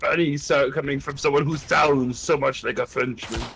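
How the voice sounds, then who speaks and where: A man playing an excitable young Austrian soldier with a put-on accent that slips toward American, while gaming. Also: medium pitch, normal speed, creaky, a little hiss or hum. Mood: brash. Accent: put-on Austrian accent slipping to American. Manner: excitable, shouts battle cries. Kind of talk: in-character roleplay while gaming.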